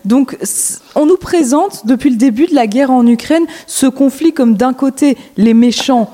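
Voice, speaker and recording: An interviewer with a mellow, warm tone asks brief, measured questions in a calm, even way. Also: high voice.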